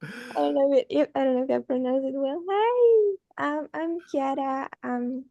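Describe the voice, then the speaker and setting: A woman's voice: sits high, moderate pace, gravelly, dark tone, highs cut off. A woman who is an internal medicine resident presenting a case on a video call, nervous and giggly.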